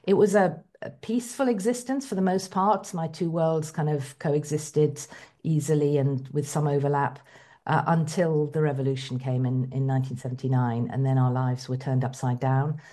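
A middle-aged woman from Iran speaks as an interview guest in a notably low voice. Her tone is dark and mellow. She talks in a reflective, measured way, pausing often to find her words. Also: steady tone. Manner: reflective, measured, with frequent hesitations. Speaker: guest, bishop. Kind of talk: conversational interview.

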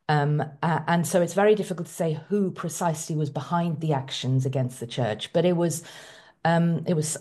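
A middle-aged woman from Iran speaks as an interview guest in a notably low voice. Her tone is dark and mellow. She talks in a reflective, measured way, pausing often to find her words. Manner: reflective, measured, with frequent hesitations. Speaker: guest, bishop. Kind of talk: conversational interview.

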